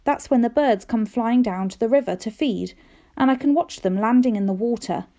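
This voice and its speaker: A woman reading a children's storybook aloud in an expressive, careful storytelling manner, with dramatic emphasis. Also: on the high side, normal speed. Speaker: teacher reading aloud to pupils.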